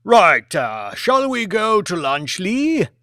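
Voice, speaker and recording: A man's voice: high voice, wildly swooping pitch, slow pace, sharp tone, no echo, studio quality, pitched above the performer's own voice, heavily acted. A man voicing a patronising aristocratic British lord in an audiobook, exclamatory and falsely jovial. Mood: hearty greeting.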